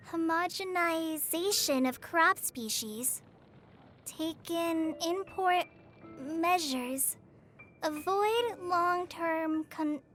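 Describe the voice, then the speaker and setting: A woman's voice: very high voice, fairly bright tone, heavily acted. An actress playing a shy, earnest teenage girl forest ranger trainee in a video game, hesitant and easily flustered. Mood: struggling, exasperated. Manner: hesitant, stammering, apologetic. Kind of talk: video game character voice lines. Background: background music.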